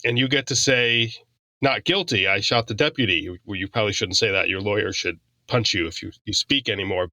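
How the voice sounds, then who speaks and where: A man's voice: on the low side, fairly quick, bright, forceful tone, no room sound, low-quality recording. A man speaking as a guest expert, explaining in a casual, conversational way. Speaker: law professor, podcast guest.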